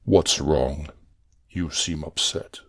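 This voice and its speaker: Villain uncle, audio drama, very deep, husky rasp, cold, dismissive, controlling, dry close sound. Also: steady tone, slow pace, dry recording, heavily acted. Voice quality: hoarse, raspy. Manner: clipped, dismissive.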